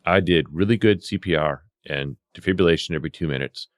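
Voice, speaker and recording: Low-voiced man telling field stories. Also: fast talker, no room sound, clean studio sound. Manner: acts out exchanges with quoted dialogue. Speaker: paramedic and CPR instructor telling field stories. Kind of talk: animated anecdotal storytelling in conversation.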